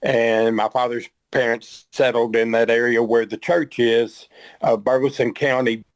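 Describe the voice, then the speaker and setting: A Texan man in his sixties speaks slowly and evenly, with little rise or fall, pausing often and restarting his sentences as he recalls family history. The sound is dry and close, with no room echo. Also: medium pitch, flat delivery. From Texas. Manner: slow, halting, with many ums and restarts. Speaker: podcast guest. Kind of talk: conversational interview.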